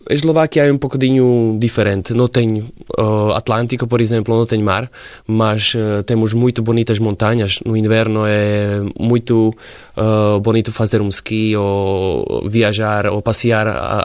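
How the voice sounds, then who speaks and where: A man in his forties from Slovakia, speaking Portuguese with a Slovak accent as a radio interview guest, pausing often to search for words. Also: on the low side, fairly even intonation, no echo, clean studio sound. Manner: halting, searching for words in a second language. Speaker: interview guest, former footballer.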